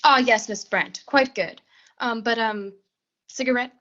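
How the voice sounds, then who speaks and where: A teenage girl playing a nervous, distracted woman executive in a 1940s suspense radio play, halting and repeating herself. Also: a little high, fairly even intonation, pushed and bright, highs cut off. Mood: flustered. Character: nervous, flustered woman executive, curt when pushed. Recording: faint noise and clicks, home recording.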